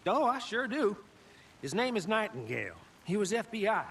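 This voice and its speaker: Small-town gossip, male, video game. High-pitched, very animated, sly and theatrical, faint rainy hiss. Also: normal speed, full character voice. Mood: sly, knowing.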